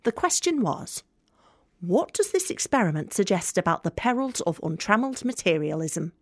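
A young woman's mid-pitched voice in a dry, close recording, a guarded student in an audio play. She confides in an intimate monologue, sardonic and frank, with a sharp tongue over a bruised, hopeful core. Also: sing-song, moderate pace, no echo. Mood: wry, confessional. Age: young adult. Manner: sardonic, sweary, candid.

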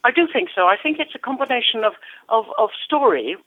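A woman's voice: on the high side, very animated, fairly quick. A woman, a national poet being interviewed, talking with passion and a ready laugh, often repeating words. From Wales. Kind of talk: radio interview. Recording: tinny phone-like line.

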